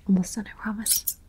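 A woman whispering softly in an ASMR personal-attention roleplay, gently reassuring, with repeated trigger words and small chatty asides. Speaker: ASMR creator.

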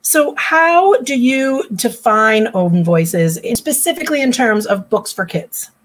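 A woman's voice: a little high, lively intonation, slow pace, slight background noise. A woman editor from the Boston area moderating a live online panel from home, introducing the guests with lots of "um" and chatty asides over a laptop mic. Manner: warm, chatty introductions with frequent "um". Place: home room. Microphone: laptop webcam mic on a video call.

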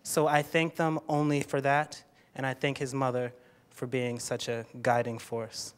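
A young American man answers a question on stage in a medium-pitched voice. He is reflective and hesitant, piecing his thoughts together as he goes. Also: level tone, a little slow, smooth. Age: 20s. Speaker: actor answering on a panel. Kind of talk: thoughtful spoken answer to an audience. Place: auditorium stage. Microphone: handheld stage microphone.

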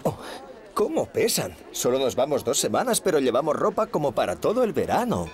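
Easygoing preschool-cartoon father, Castilian accent, very expressive, kindly. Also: mid-range voice, fairly quick, a little hiss or hum. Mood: encouraging. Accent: Castilian Spanish. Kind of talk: children's cartoon dub acting.

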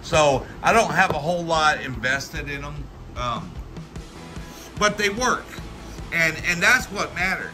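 A middle-aged American man giving a casual, folksy hands-on product review, talking in a halting, rambling way with repeated words. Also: a little high, animated, music in the background. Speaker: tool review channel host. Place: indoors at a workbench.

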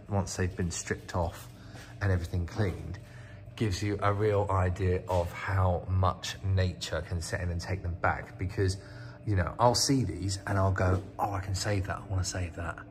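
Deep-voiced British man vlogging casually. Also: fairly even intonation, moderate pace, a little hiss or hum. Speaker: vlogger, host of a channel about rotten old cars. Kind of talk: casual off-the-cuff walk-around commentary. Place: inside a car workshop.

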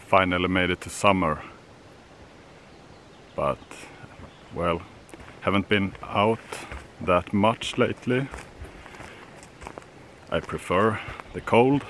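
A man in his thirties talks to a handheld camera at arm's length while he walks a summer forest trail. His voice is low and he speaks slowly, in short, halting phrases. Faint outdoor ambience sits behind him. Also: fairly bright tone, a little hiss or hum. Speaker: outdoor vlogger. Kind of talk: casual vlog to camera.

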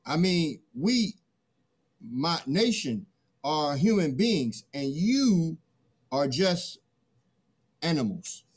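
Man acting a greedy, boastful first human, husky, higher-pitched, animated delivery, stage reading. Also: drawn-out words, pauses often, heavily acted. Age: middle-aged. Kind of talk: dramatic play reading.

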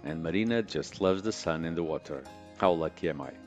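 Deep-voiced man narrating a boating vlog. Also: a little fast, darkish tone. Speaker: boating vlogger. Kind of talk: travel vlog voice-over narration. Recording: music playing underneath.

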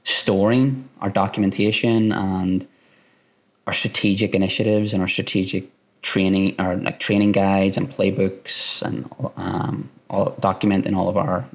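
A man in his thirties with an Irish accent, a sales director answering interview questions on a video call, talking fast and restarting often. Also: low voice, little pitch movement. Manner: fast-talking, repeats himself, many restarts. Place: room at home by a window. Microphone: headphones on a video call. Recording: dull lo-fi call audio.

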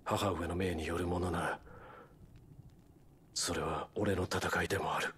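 Low-voiced stoic warrior. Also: male voice, flat delivery, unhurried, fairly bright tone, a little hiss or hum. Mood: grave resolve. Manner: restrained, resolute, terse. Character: stoic, determined young male warrior of noble birth. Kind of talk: Japanese video game voice acting.

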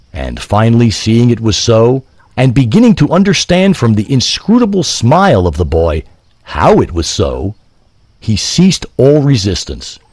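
A man narrating a scripted story in a measured, literary manner. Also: medium pitch, a little mellow, slightly rough, dry recording, clean studio sound.

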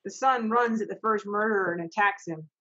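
A middle-aged woman in a home office, reading stage directions for a video-call play reading and briskly keeping the young readers on track. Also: medium pitch, bright, forceful tone. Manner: brisk, practical, coaxing the young readers along. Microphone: laptop mic on a video call. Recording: dull lo-fi video call audio.

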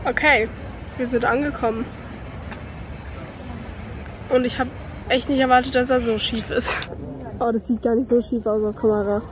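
A woman's voice: fairly high pitch, fairly even intonation, normal speed, long pauses, crowd noise, low-quality recording. A young woman chatting playfully to viewers in a casual travel vlog, filmed with a handheld camera outdoors and in a car. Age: young adult. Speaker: vlogger. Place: outdoors and in a car on a road trip. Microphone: handheld camera at arm's length. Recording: outdoor noise from traffic and wind.

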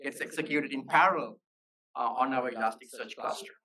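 A man presenting as a software engineer at a meetup, explaining technical material in halting phrases. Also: mid-range voice. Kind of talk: technical conference talk. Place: live meetup talk. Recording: voice slightly distant from microphone.

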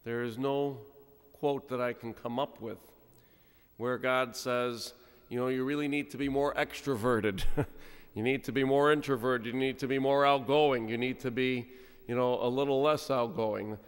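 A middle-aged man, a priest, giving an animated, humorous sermon at a pulpit microphone, with sudden quiet drops. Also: mid-range voice, moderate pace, clear, resonant tone. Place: large church, speaking at a pulpit. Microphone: stand mic at pulpit. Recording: long church reverb.

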